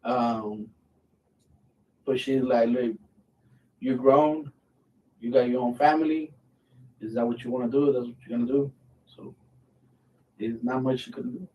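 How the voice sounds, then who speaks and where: A man's voice: mid-range voice, a little slow, long pauses, lo-fi sound. Blunt, cursing veteran man, distant mic. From Mexico. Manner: blunt, swears freely, easygoing storytelling. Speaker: military veteran guest, channel host. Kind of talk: casual live chat.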